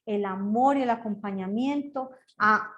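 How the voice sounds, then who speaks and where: A woman's voice: medium pitch, a little slow, fairly bright tone, dull audio. A woman speaking as a grief counselor in an interview, earnest and listing her ideas one after another. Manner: earnest, lists ideas in series. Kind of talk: explaining in an interview.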